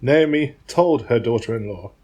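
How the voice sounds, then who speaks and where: A man's voice: medium pitch, moderate pace, a little mellow, breathy edge. A man narrating a dramatised Bible reading from a script.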